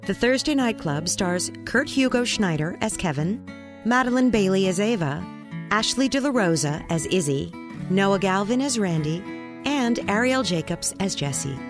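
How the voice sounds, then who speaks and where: A woman's voice: medium pitch, animated, normal speed. A woman reading scripted podcast credits as an announcer. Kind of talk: scripted narration. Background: plucked guitar music.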